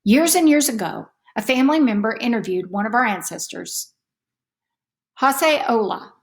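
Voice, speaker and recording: A woman reading a children's story aloud in an expressive, deliberate storytelling manner. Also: fairly high pitch, gravelly. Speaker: storybook reader.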